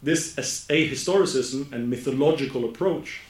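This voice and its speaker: Norwegian guy giving a lecture in english, slow and careful, keeps fixing his words, roomy echo. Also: medium pitch. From Norway. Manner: slow, careful delivery with frequent self-corrections. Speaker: academic speaker. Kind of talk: conference presentation. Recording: echoey room.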